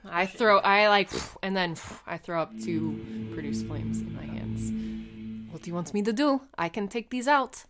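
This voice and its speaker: A woman's voice: on the low side, sing-song, a little slow, faint music behind. A woman chatting casually as a player at a tabletop role-playing game. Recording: home recording with loud background noise.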